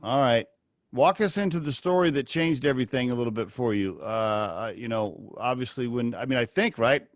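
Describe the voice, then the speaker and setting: A man's voice: medium pitch, moderate pace, no echo, clean studio sound. A man hosting a podcast interview over a video call, chatty and asking rambling questions. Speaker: podcast host. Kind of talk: casual interview.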